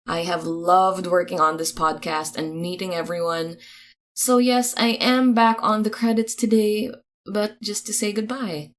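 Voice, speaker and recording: A woman reading podcast credits in a chatty, informal, upbeat announcing style, with expressive delivery. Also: mid-range voice, a little slow.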